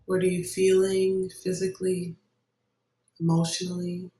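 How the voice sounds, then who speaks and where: A meditation guide giving gentle, unhurried instructions with long pauses between phrases. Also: mid-range voice, monotone. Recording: roomy echo, distant microphone.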